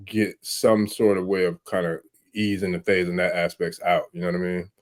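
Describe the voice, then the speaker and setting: A man's voice: low voice, level tone, normal speed. A man hosting a podcast, talking in a laid-back, slangy way full of fillers like "kinda" and "you know what I mean". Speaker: podcast host. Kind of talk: casual conversation.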